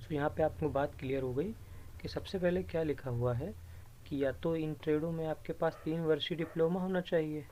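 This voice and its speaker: A man's voice: a little high, fairly even intonation, normal speed, mellow, slight background noise, poor audio. A man presenting an informal screen-share explainer, explaining patiently by repeating and rephrasing key points. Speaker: YouTube presenter explaining recruitment rules.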